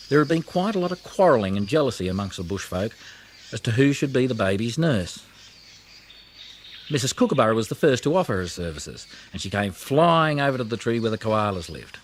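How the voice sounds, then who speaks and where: Animated man narrating a children's storybook. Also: lowish voice, a little hiss or hum. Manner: expressive, storytelling delivery. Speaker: audiobook narrator.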